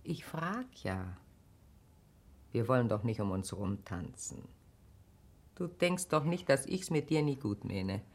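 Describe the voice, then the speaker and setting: A woman's voice: a bass voice, sing-song, slow, long pauses, heavily acted. An actress playing a motherly, shrewd middle-aged wife in a radio play, coaxing and frank. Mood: earnest. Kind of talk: naturalistic radio play acting.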